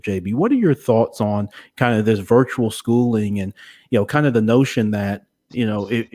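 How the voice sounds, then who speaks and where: A man hosting a conversational podcast interview, speaking in a measured, hesitant way as he works through his thoughts. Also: on the low side, darkish tone.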